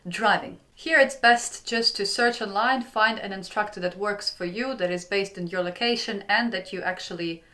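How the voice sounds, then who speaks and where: A young woman from Ukraine, hosting her own channel, gives friendly step-by-step advice in a clear, upbeat way. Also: mid-range voice, normal speed, hardly any pauses, pushed and bright. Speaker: channel host.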